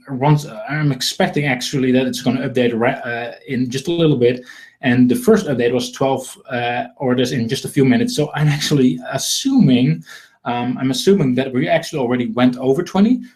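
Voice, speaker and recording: A man's voice: medium pitch, a little fast, a little mellow. A man from the Netherlands with a Dutch accent, hosting an informal live sales webinar in a rambling, repetitive, self-deprecating way. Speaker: webinar host selling marketing software.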